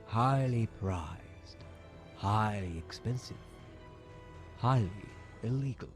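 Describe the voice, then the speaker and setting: A man playing a smooth, menacing corrupt politician in an audio comic, speaking with deliberate condescension. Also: on the low side, expressive. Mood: coldly menacing. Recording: music behind the voice.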